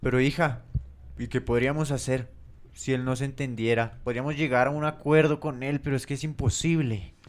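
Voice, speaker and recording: Man as resigned father, worried, medium pitch, amateur radio play acting. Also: steady tone, brisk, natural voice. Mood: helpless, worried.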